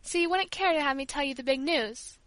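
A woman reading aloud plays an impulsive little girl who rushes her words in eager excitement. The voice sits very high and bright, recorded close and dry like a storybook audiobook. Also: dry recording. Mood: excited. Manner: eager, breathless, pleading. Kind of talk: audiobook character voice.

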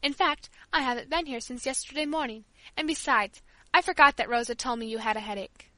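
A woman voicing an impulsive, excitable young girl in a storybook reading, talking eagerly and pleading. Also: sits high, fairly quick, no room sound. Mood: excited. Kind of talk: audiobook character voice.

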